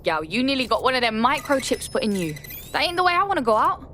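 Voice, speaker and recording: A mouthy London street rebel, fast and fairly high. She is blunt, slangy and cynical, in video game style. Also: big pitch swings, background noise. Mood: appalled.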